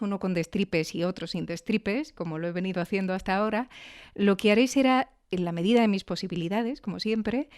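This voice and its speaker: A woman's voice: mid-range voice, darkish tone, clear, resonant tone. A woman with an accent from Spain, presenting and interviewing on a podcast in a conversational, lightly scripted style.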